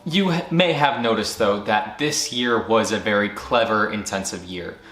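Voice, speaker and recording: A man's voice: fairly low pitch, moderate pace, a bit bright. A man hosting his channel's casual year-end recap, rambling and breaking off into jokey asides. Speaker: channel host. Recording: roomy echo with faint music.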